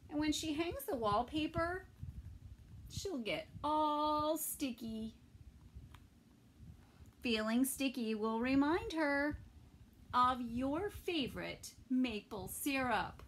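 A middle-aged woman reading a picture book aloud to toddlers, expressively, with pauses between phrases. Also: high-pitched, expressive, a little hiss or hum. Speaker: children's story time reader. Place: small indoor room with bookshelves.

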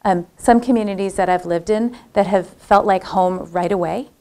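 A woman's voice: medium pitch, moderate pace, dark tone. A woman speaking on a panel in a lecture room, reflecting thoughtfully and personally on community and belonging. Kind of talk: panel discussion.

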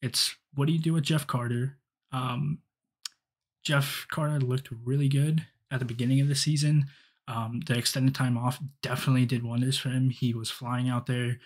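A man's voice: mid-range voice, level tone, normal speed. A young man giving rambling solo hockey commentary with many ums and restarts, recorded on a headset in a small dim room. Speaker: hockey fan podcaster. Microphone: headset, webcam framing.

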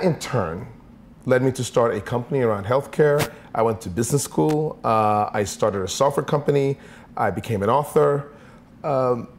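Interview guest: man in his forties from Sweden, mid-pitched, thoughtful, repeats words while thinking, lapel mic in an office. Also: darkish tone. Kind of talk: conversational interview answers. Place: office with large windows.

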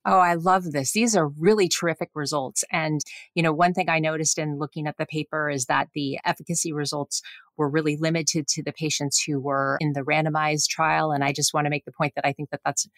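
A woman's voice: low-pitched, steady tone, brisk, no room sound. A woman hosting a professional medical interview in a conversational style. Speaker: podcast host, physician.

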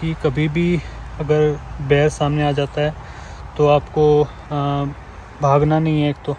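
A man's voice: a little high, little pitch movement, slow, warm tone, lo-fi sound, slight background noise. A man in his thirties from India, casually narrating a hike vlog outdoors on a forest trail, chatting with viewers like friends through an action camera. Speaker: hiking vlogger. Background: guitar music.

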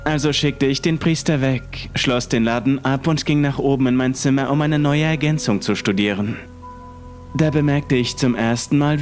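A man narrating a creepy first-person horror story in a calm, measured way. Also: mid-range voice.

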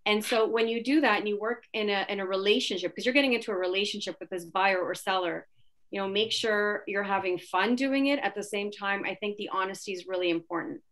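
A woman's voice: medium pitch, a little fast, a bit bright. A woman from Poland, a realtor on a webinar panel, earnestly and animatedly retelling a client meeting over a video call from a home office.

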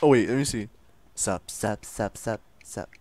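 A man casually commenting on a video game he plays, joking and laughing, jumping from loud squeals to low mumbles. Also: unhurried. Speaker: gameplay streamer. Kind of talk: casual let's-play commentary.

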